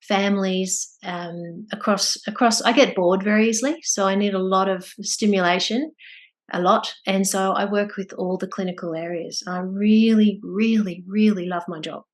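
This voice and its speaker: A woman's voice: mid-range voice, moderate pace. A woman in her forties with an Australian accent, a clinical psychologist speaking energetically as a podcast guest. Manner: bubbly, energetic, laughing easily. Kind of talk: casual interview.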